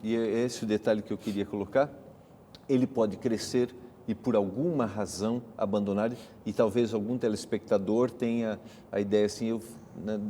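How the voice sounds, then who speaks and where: Mellow Brazilian man hosting, long reverb. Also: fairly low pitch, slight background noise. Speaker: host of a religious study program. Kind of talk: televised Bible study discussion.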